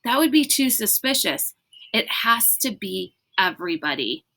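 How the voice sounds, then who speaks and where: Woman reading as an earnest, bright-voiced young boy. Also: mid-range voice, big pitch swings, measured pace, vocal fry, full character voice. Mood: cautioning. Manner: earnest, cautious. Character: earnest, conscientious young boy, easily discouraged.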